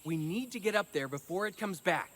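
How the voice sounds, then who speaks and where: A woman's voice: on the low side, expressive, a little fast, lightly acted. A woman voicing a cocky young boy insect in a children's cartoon, with a teasing, sarcastic way of talking. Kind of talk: animated children's cartoon acting.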